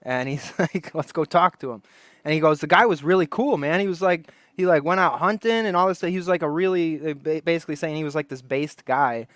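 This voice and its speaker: Brief: man, casual podcast co-host, fairly high voice, dry close sound, loose rambling storyteller who laughs a lot. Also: brisk, a bit bright, no room sound. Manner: rambling storyteller, laughs often, acts out quotes.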